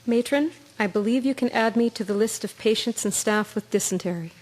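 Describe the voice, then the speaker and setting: Stoic, higher-pitched wartime nursing sister, played by a woman. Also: a little fast, a little mellow, natural voice, rough recording. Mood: weary, stoic. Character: stoic, weary First World War nursing sister. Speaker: actor in a radio drama. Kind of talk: period radio drama acting.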